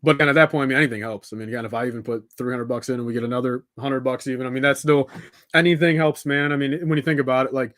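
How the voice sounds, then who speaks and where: A man's voice: medium pitch, steady tone, racing, a bit bright, no room sound, clean studio sound. Casual, rambling young man livestreaming. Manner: rambling, informal, peppered with dude and man. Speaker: live streamer hosting a building stream. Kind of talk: casual unscripted livestream chat with viewers.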